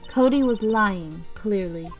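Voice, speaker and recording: A composed female mentor acted by a woman speaks encouragingly at a medium pitch. It is game dialogue with music behind it. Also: slow pace. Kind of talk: fan-dub visual novel voice acting.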